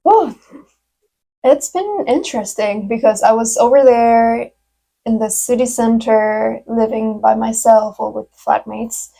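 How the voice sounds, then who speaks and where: A woman as a podcast guest. Her voice sits on the high side. She chats casually, giggles often and fills pauses with ums. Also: gravelly, dark tone. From Costa Rica. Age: 20s. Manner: chatty, giggles, many ums. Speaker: guest, music education student. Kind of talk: casual interview chat.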